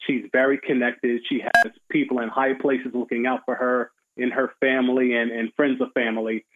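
Phone-line male sports pundit, mid-pitched. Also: flat delivery, moderate pace. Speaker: guest sports commentator. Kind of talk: conversational sports commentary. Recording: tinny narrow phone-like line.

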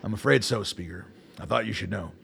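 A weary, stubborn colonel in a radio play. The voice is heavily creaky and rough, with a forceful edge. He talks in blunt, clipped military phrases and sounds used to being obeyed. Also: fairly low pitch, a little fast, no room sound, full character voice. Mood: guarded, courteous. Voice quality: gravelly, raspy. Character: weary, foul-mouthed army colonel, commanding and stubborn. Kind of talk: radio drama acting.

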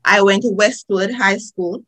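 A woman's voice: medium pitch, fairly even intonation, unhurried, pushed and bright. A woman with a Jamaican accent, a lawyer guest on a podcast, telling stories in a chatty way with many fillers. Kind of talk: podcast interview.

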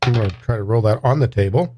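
A man's voice: fairly low pitch, quick pace, dark tone, slight background noise. A man playing a tabletop game, casually and thoughtfully explaining what his character does. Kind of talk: casual in-game description and chat.

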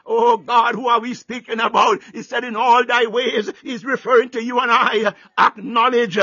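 A very high-pitched man delivers a fervent sermon in a dry, dull-sounding recording. He is emphatic and forceful, repeating phrases and punctuating his preaching and prayer with exclamations of praise. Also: flat delivery, normal speed, breathy edge, no room sound.

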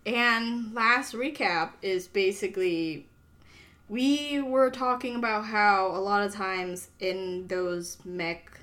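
A woman's voice: mid-range voice, slow pace, fairly bright tone. A woman who speaks English as a second language, hosting a casual, chatty podcast in an informal manner. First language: not English. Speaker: podcast host.

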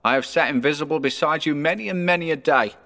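A man performs a tormented phantom from a storybook, pitched well above a normal male voice. The delivery is solemn and declamatory, heavy with remorse and foreboding, drawn into a mournful wail. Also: quick pace, bright, forceful tone, in a large hall. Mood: mournful, urgent. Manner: wailing, grave, solemn declamation.

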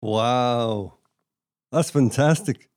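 A man's voice: medium pitch, very slow, darkish tone, clear, resonant tone, no room sound. A man hosting a podcast, interviewing a guest with casual, joking questions. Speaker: podcast host.

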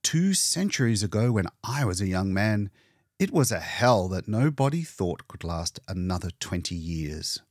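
A man's voice: sits low, very animated, moderate pace, no room sound, studio quality. A man voicing an elderly, self-assured male doctor who lectures in expansive, emphatic speeches for an audiobook. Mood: grand, optimistic. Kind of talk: audiobook character dialogue.

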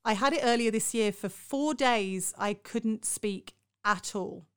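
A woman's voice: a little high, measured pace, clean tone. A British woman hosting a casual interview, chatty and affirming as she talks.

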